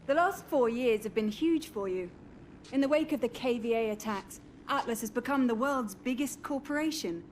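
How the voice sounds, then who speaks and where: A woman playing a polished TV news interviewer in a game cutscene, with a crisp, practiced delivery. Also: a little high, expressive, normal speed, slight background noise, close to an everyday voice. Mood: newsy. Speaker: news anchor. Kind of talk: video game cutscene acting.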